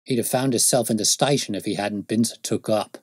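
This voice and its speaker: Storybook police constable, read by a male narrator: plain working man, rough grammar, easily put out, dry close sound. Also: lowish voice, brisk, a little mellow, no room sound. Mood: irritated, aggrieved. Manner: colloquial, ungrammatical working-class speech. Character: uneducated, defensive London police constable, mystified and aggrieved.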